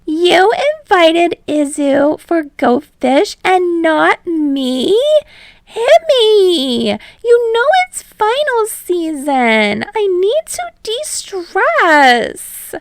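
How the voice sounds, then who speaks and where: A woman voicing an excitable teenage girl student with a theatrical, wailing manner. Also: very high voice, extremely slow, no echo, pitched above the performer's own voice, heavily acted. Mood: anguished, dramatic.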